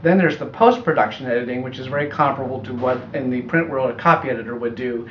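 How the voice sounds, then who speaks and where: A man's voice: medium pitch, fast. Middle aged american guy answering interview questions, thoughtful, roomy echo, bit of hiss, kinda muffled. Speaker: audiobook producer being interviewed. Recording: echoey room, muffled, faint noise.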